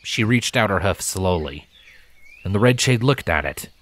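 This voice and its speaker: A man's voice: fairly low pitch, expressive, moderate pace, fairly bright tone. A man reading scripted fantasy fiction as a storybook narrator. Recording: music bed behind narration.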